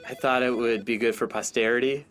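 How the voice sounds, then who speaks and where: An actor playing an anxious, self-doubting copywriter in a scripted workplace comedy, with a nervy, fretful manner. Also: male voice, medium pitch, steady tone, a little fast, fairly bright tone, close to an everyday voice. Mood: sheepish. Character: anxious, self-doubting male copywriter and failed stand-up comic.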